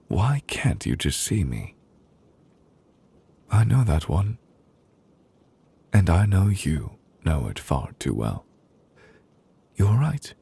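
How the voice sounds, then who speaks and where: A man playing a solemn, devoted fantasy judge in an intimate ASMR roleplay, speaking gently and deliberately in a husky voice, comforting his beloved. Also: very deep voice, exaggerated voice. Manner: slow, deliberate, gently reassuring with pauses. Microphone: close, dry studio microphone.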